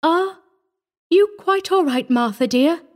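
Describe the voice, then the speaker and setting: A woman's voice: very high voice, expressive, takes it slowly, pitched above the performer's own voice, exaggerated voice. A woman voicing an anxious, commanding stepmother, dramatic and emphatic, in a story reading. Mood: shaken, tender.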